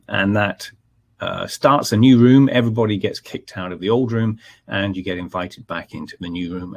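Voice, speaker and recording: A middle-aged man with a Scottish accent opens a live technical webinar in a very low, gravelly voice with a husky edge. He talks in a loose, conversational way, filling pauses with ums and restarting his sentences. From Scotland. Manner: conversational, many fillers and restarts. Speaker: webinar presenter, technical manager.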